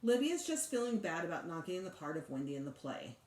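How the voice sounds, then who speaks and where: A woman reading a storybook and voicing a patient, reassuring mother who calmly explains things. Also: on the low side, quick pace. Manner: calm, explaining. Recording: roomy echo.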